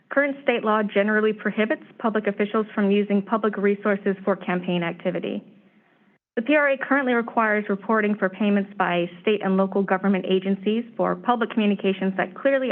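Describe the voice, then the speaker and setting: A woman staff presenter giving a formal, measured briefing on proposed legislation, her voice slightly hoarse. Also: medium pitch. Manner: steady, measured, reads prepared remarks. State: slightly hoarse, clearing throat. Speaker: staff presenter at a commission meeting. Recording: tinny phone-line audio.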